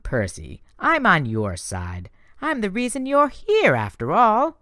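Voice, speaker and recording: A man voicing a vain, gushing goddess of love, high and theatrical with a dry, close sound. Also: wildly swooping pitch, slow pace, pushed and bright, dry recording, heavily acted.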